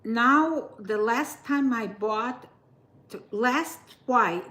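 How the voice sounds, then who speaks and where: A woman co-hosting a casual live chat, speaking halting, broken English with many fillers. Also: a little high, fairly bright tone. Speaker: co-host.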